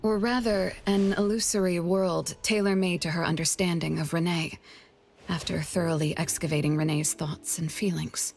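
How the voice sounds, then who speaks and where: An actress playing a calm, knowing young female team leader in an anime-style video game, with measured delivery. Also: on the low side, gravelly. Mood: serious explaining. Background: game music.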